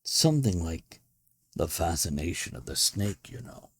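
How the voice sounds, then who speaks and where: A man voicing a genial, pompous older gentleman who runs a madhouse, in a dramatic storybook reading with theatrical, self-assured delivery. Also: low-pitched, sing-song, measured pace, husky, exaggerated voice. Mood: proud, assured.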